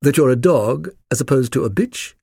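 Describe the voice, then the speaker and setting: An earnest storybook Labrador pup, acted by a man. It speaks in a measured, self-important tone with lively intonation and a dry, close sound. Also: medium pitch, vocal fry, husky, dry recording, pitched above the performer's own voice.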